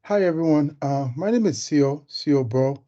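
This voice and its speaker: A man's voice: a little high, measured pace, dark tone. A man from Liberia, a graduate student, briefly introducing himself on an online call. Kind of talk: self-introduction. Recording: dull lo-fi call audio.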